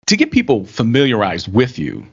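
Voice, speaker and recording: A man's voice: medium pitch, wildly swooping pitch, normal speed, husky. A man hosting an interview show who chats jovially and laughs often as he welcomes his guest. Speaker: podcast host. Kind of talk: casual interview chat. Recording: dull lo-fi recording.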